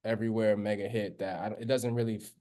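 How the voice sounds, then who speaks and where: A man co-hosting a podcast in casual chat, talking in a relaxed, drawn-out way. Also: fairly low pitch. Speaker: podcast co-host.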